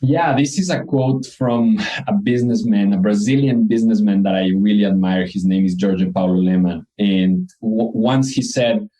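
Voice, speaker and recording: Man, Ecuadorian accent, low voice, warm tone, earnest, reflective, interview guest. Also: normal speed, few pauses, poor audio. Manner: earnest, reflective, frequent restarts. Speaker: guest, company co-founder. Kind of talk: conversational interview answers.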